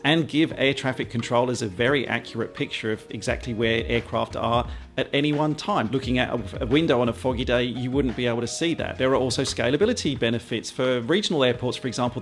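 A man, presenting an explainer to camera in a measured, conversational way. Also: medium pitch, faint music behind. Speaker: aviation vlogger and presenter. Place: small quiet home office, indoors.

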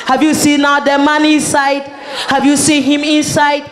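A woman's voice: high voice, completely flat delivery, normal speed. A woman with a Ghanaian accent, a native Twi speaker, hosting a party as master of ceremonies and mixing languages in an emphatic, exclamatory way. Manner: exclamatory, emphatic, repeats phrases. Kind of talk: live event hosting, mixing local language and English. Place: party venue, amplified to guests. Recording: echoey PA at a crowded event.

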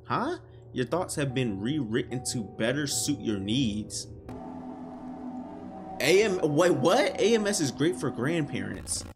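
A young man in a casual reaction style, reading text aloud and breaking in with slangy, animated exclamations. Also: medium pitch, a little slow, music in the background. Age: young adult. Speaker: reaction video host. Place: small room indoors.

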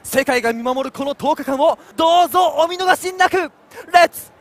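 Shrill, showy announcer. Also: male voice. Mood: hyped. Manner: shouting, drawn-out hype. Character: excitable, showy male games announcer. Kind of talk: theatrical announcing. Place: theater stage, live performance.

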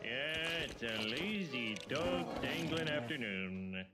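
A man playing a dim, excitable, loud and whiny cartoon husband in a game cutscene, given to muttering and wailing. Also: medium pitch, slow pace, over music. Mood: puzzled, casual. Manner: exclamatory, swinging from muttering to wailing. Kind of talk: animated video game cutscene acting.

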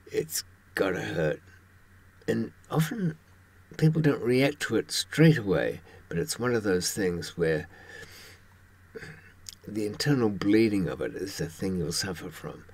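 An elderly man in his seventies with a husky, fairly low voice talks to camera in a loose, rambling way. He has a wry, darkly humorous manner and often pauses to hunt for the right word. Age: 70s. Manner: wry, darkly humorous, hesitant searching for words. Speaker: host recounting experiences from a criminal past.

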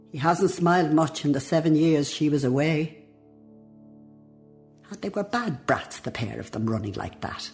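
Low-voiced devout old Irish woman. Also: darkish tone. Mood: tender, then reproachful. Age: older. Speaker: actor in a radio play. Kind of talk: dramatic radio monologue.

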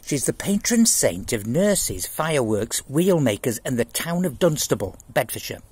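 An earnest schoolboy in a storybook, acted out by an older man. He is pious and eager, reciting facts with great seriousness. The voice is husky and moves up and down a lot. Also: medium pitch, very animated, moderate pace, a little mellow, dry recording. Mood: proudly informative. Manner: earnest, solemnly informative.

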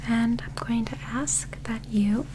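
A woman in her twenties performing a gentle, methodical ASMR medical roleplay as an alien examiner, reassuring and close to the microphone. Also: a little high, steady tone, takes it slowly, noisy background. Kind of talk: soft whispered ASMR roleplay.

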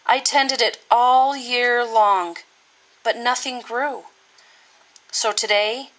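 A woman's voice: a little high, fairly bright tone, rough recording. A woman voicing an honest, earnest young boy in a children's storybook.

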